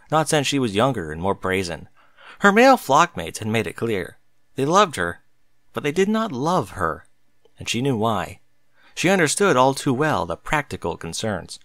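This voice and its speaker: A man narrates a scripted story expressively. His pitch moves around a lot from phrase to phrase, and the recording is dry with hardly any room in it. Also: fairly bright tone, no room sound.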